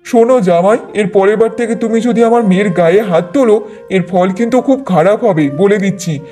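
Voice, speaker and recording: A man's voice: sits high, brisk, dark tone, faint music behind, close to an everyday voice. A man voicing a protective older village father in a cartoon, firm and admonishing. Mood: stern. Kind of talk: Bengali moral-story cartoon dubbing.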